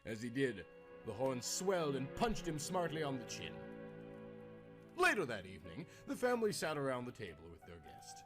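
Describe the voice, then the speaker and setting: A man's voice: mid-range voice, big pitch swings, normal speed. A man reading a scripted tale as a theatrical, dramatic storyteller. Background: music.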